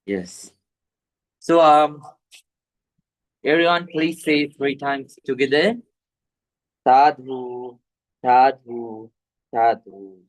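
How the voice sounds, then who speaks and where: A man with a Sri Lankan accent, a Buddhist monk teaching meditation to an online group in a halting, deliberate way. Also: medium pitch, steady tone, rough recording. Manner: halting, deliberate, repetitive phrasing. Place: village temple in Sri Lanka, over video call.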